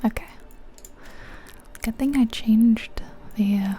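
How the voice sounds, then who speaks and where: A woman whispering softly and breathily in a casual, chatty ASMR style as she comments on a game, gentle and musing. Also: a little high, slow, a little hiss or hum. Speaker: ASMR channel host.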